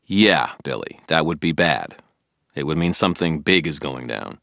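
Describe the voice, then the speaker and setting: A man playing a worn-out, short-tempered man with a very deep voice. He answers in curt, grumbling lines with a dry wryness, read close and dry. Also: animated, moderate pace, creaky, a bit bright, no echo, full character voice. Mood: irritated. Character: weary, short-tempered grown man, gruff and wry.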